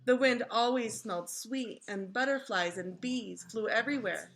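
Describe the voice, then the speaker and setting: A woman reads a children's story aloud as a teacher would. Her voice is fairly high and very bright, and her delivery is expressive and instructive. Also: moderate pace. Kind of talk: children's storybook read-aloud with questions to listeners.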